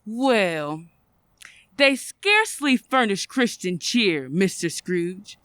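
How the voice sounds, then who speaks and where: A woman's voice: mid-range voice, big pitch swings, slow, a bit bright, dry recording. A woman playing a polite, earnest charity collector in an old-time radio play, courteous and formal.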